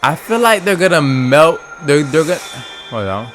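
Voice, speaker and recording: A man's voice: on the high side, sing-song, moderate pace, a bit bright. Casual, slangy man with music playing behind. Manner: slangy, exclamatory. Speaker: streamer reacting to game trailers. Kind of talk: casual excited live reaction commentary. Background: game trailer music.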